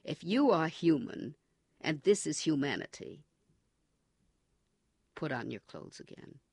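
A man voicing a cold, menacing male party interrogator in an audiobook, speaking forcefully and with contempt. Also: on the high side, lively intonation, slow pace, gravelly, breathy edge, no room sound, studio quality, full character voice. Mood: contemptuous. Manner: forceful, contemptuous, coldly commanding. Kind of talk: audiobook character reading.

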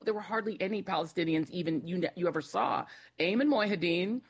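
A woman's voice: on the low side, fairly quick, husky. A middle-aged woman guest, a former TV host, speaking confidently and directly. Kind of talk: panel interview.

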